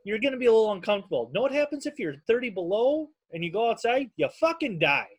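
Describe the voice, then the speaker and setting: A man with a Minnesotan accent chats casually as a podcast host. His voice sits a bit high for a man, and he rambles along with plenty of swearing. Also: sing-song, fast talker, slightly rough. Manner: rambling, heavily profane. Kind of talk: casual chat.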